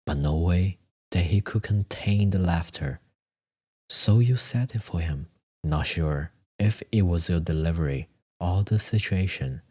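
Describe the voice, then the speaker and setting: Slow, low-voiced man narrating a story. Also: darkish tone, husky. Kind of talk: scripted second-person story narration.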